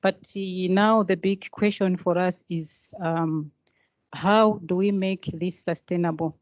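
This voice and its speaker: A woman from Malawi who works on a project, asking a question at a workshop in measured speech with frequent pauses. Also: fairly low pitch, steady tone, a little mellow, no room sound, muffled recording. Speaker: project worker. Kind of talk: conference floor question.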